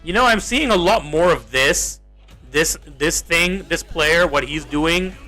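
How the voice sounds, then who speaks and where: A young man with an Australian accent rants to his chat while he plays, circling back over the same complaint and swearing. His voice is sharp and fairly high, picked up on a desk mic with quiet game music underneath. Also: measured pace. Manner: rambling, exasperated, swears, repeats himself. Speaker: gaming streamer. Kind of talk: casual livestream chat while playing.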